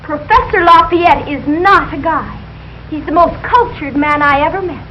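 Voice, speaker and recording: Casting: woman playing gushing, breathless teen daughter, very high voice, old radio comedy with muffled sound. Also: moderate pace, slight background noise, close to an everyday voice. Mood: dreamy, lofty. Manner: breathless, earnest, affectedly proper. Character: gushing, starry-eyed sixteen-year-old daughter. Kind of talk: 1940s radio sitcom acting.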